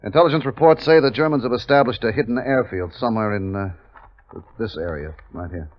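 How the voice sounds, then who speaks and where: An actor playing a measured, authoritative army captain who narrates his wartime mission in a 1950s radio drama. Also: mid-range voice, close to an everyday voice. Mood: briskly commanding. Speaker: narrator and commanding officer. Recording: dull 1950s radio recording with music.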